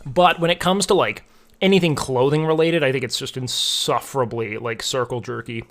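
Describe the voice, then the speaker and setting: A man's voice: mid-range voice, normal speed, fairly bright tone. Young guy streamer just talking to chat, medium voice, rambly, says like a lot. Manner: rambling, filler-heavy, frequent "like". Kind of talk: casual chat with chat.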